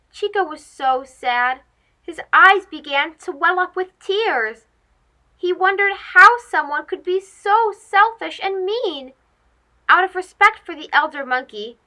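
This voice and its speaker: A woman hosting a children's read-aloud, narrating a storybook expressively and speaking directly to young listeners. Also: sits high. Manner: animated, addressing young children.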